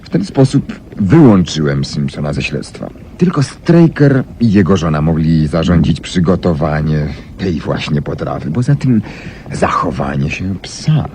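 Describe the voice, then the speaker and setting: An actor playing a brilliant, self-assured detective, explaining deductions theatrically with dramatic pauses in a radio drama. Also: male voice, very deep voice, very animated, darkish tone, exaggerated voice. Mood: confident, teasing. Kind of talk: 1970s Polish radio drama acting. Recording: noisy 1970s radio archive recording.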